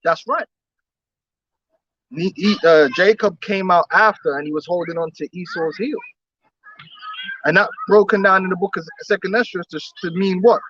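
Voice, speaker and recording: A man's voice: high-pitched, moderate pace, long pauses, fairly bright tone, no room sound, lo-fi sound. A man preaching outdoors on the street, emphatic and repetitive, often laughing between his points.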